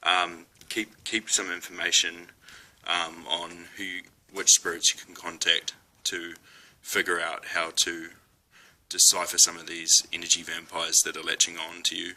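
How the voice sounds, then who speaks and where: Very deep, flat New Zealand man. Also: measured pace. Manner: hesitant, many ums and restarts, small laughs. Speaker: spiritual vlogger. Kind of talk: casual talk to camera.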